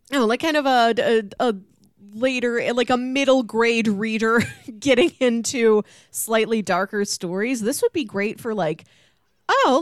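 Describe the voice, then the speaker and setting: A woman's voice: fairly high pitch, no room sound, studio quality. A woman hosting a podcast, chatting casually and informally in a musing way about books. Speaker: podcast host. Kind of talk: casual book discussion chat.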